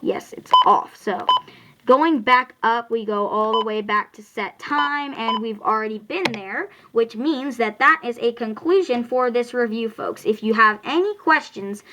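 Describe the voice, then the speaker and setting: An American speaker giving a casual, halting hands-on product review and tutorial, explaining things informally and correcting slips along the way. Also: medium pitch, noisy background. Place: indoors at home.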